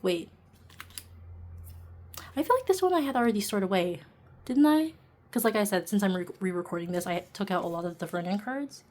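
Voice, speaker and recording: A woman vlogger chatting casually and a little quietly while sitting down at home, with lots of fillers and laughs. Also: mid-range voice, lively intonation, brisk, long pauses. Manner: talking softly while seated. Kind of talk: casual chatty vlog narration. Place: indoors at home, seated.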